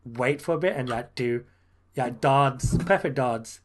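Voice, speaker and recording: A man's voice: mid-range voice, measured pace. Easygoing guy on a game podcast, laughs a lot, restarts his sentences. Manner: laughs often, trails off and restarts. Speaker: podcast co-host. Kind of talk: casual gaming chat.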